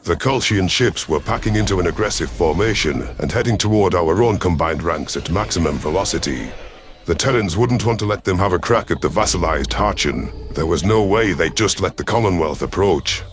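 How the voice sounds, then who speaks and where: Man playing a deep-voiced alien predator commander, gruff, proud, impatient, growling, sci-fi audio drama narration. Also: a little fast, a little hiss or hum, close to an everyday voice. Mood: wary, brooding. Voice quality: low, growling. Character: gruff, proud alien predator chief hunter, fleet leader.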